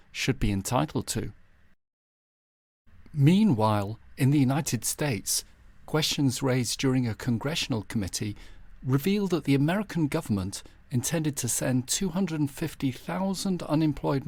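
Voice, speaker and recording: A man reading scripted, documentary-style news headlines in a measured, formal manner. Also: mid-range voice, darkish tone, no room sound. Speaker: narrator presenting historical news headlines. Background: background music.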